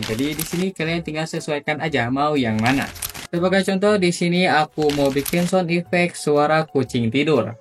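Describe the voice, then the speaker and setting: A man narrating a casual, scripted tutorial as its host. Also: a little high. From Indonesia, Sunda region. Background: background music.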